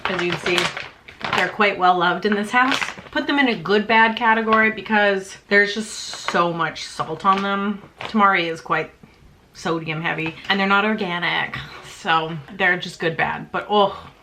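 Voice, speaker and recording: A woman's voice: medium pitch, measured pace, background noise. A young woman, chatting in a casual home vlog about her snacks, bubbly and self-mocking, often laughing. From Canada. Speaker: vlogger.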